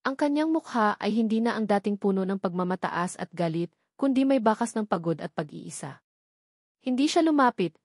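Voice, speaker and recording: A woman with a Filipino accent narrates a sentimental romance story from a script in an earnest, measured way. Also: medium pitch, a little mellow, dry recording, studio quality.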